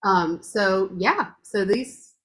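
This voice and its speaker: A woman's voice: mid-range voice, very slow. A middle-aged woman talking casually in a bubbly, gushing, chatty way. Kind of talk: casual chat.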